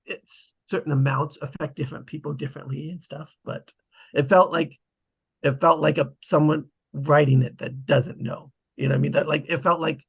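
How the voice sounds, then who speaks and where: Husky, creaky-voiced man, higher pitched. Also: steady tone, moderate pace. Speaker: table read participant. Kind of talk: casual group discussion of a script.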